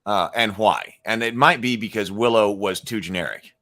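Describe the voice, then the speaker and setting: Male stream host, low and punchy, rambling and unscripted, full of restarts. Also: moderate pace, pushed and bright. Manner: rambling, thinks aloud with frequent restarts and fillers; reads viewer chat comments aloud and replies. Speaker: livestream host. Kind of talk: casual live-stream chat about tabletop gaming.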